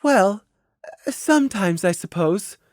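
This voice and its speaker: A woman voicing a refined unicorn mare in a storybook, soft, polite and hesitant. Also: medium pitch, sing-song, normal speed, no echo, studio quality, voice pushed up, lightly acted. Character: refined unicorn mare mayor, kindly masking despair.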